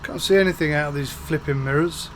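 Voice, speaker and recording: A man's voice: on the high side, fast talker. A man hosting a farming game let's-play, chatting to viewers as he plays, his voice a little off from a coming flu. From United Kingdom. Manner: relaxed, chatty, talks to viewers while playing. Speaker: gaming channel host. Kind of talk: casual let's-play commentary. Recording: faint game engine noise behind.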